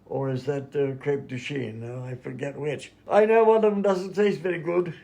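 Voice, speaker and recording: A man's voice: fairly high pitch, fairly even intonation, moderate pace, creaky, mellow. A man playing a genial, absent-minded doctor in a comedy radio sketch, polite, dithering and oblivious. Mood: cheerful, befuddled.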